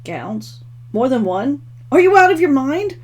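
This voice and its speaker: Woman reading as a high, indignant, feisty young heroine. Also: sing-song, unhurried, a little mellow. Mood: outraged, incredulous. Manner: indignant, blunt, challenging. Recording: clearly noisy home recording.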